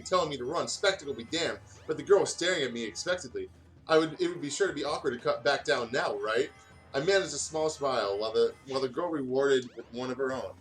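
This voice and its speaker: A man's voice: mid-range voice, a bit bright, poor audio. Man casually reading aloud over music. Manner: stumbles over words and comments on own reading. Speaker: gaming video host reading fan fiction aloud. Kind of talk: casual fan fiction read-aloud with asides. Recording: music playing behind the voice.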